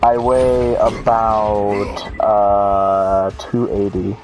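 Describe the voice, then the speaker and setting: A man with a fairly low voice chats his way through a video game. He rambles from one joke to the next and pokes fun at himself, with faint game music running behind him. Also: level tone, extremely slow, poor audio. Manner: rambling, joking, self-deprecating. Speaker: let's play gamer. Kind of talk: casual gameplay commentary.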